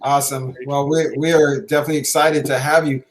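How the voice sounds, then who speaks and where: A middle-aged man hosting a show conversationally, halting often with filled pauses. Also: medium pitch, little pitch movement, a little hiss or hum. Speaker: podcast host, basketball coach.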